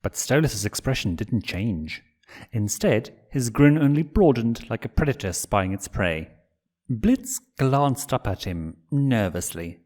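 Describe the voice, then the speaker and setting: Male fan-fiction reader with a lively, swinging delivery and a mid-range voice. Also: medium pitch, a little slow. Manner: expressive, animated reading. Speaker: fanfiction reader. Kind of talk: storybook narration.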